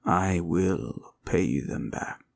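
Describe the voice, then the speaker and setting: A man voicing a gentle, protective dragon in an intimate roleplay, speaking formally and solemnly, with a gravelly, sometimes breathy voice. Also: sits low, heavily acted. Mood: calm, measured. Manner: slow, solemn, archaic formal phrasing. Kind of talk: intimate comfort roleplay audio.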